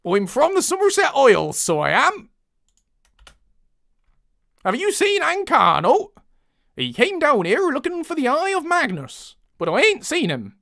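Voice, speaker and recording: Casting: eager West Country farm lad done by an amateur performer, raised pitch, swooping folksy delivery, fantasy game flavour. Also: huge pitch swings, unhurried, creaky, pauses often, a bit bright, no room sound, clean studio sound, full character voice. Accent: West Country English.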